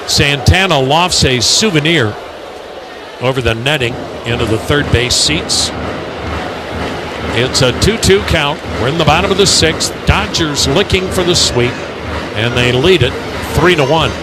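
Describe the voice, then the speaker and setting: A man calling live baseball play-by-play on the radio in measured, clipped phrases. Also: on the high side, lively intonation. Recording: loud ballpark noise behind.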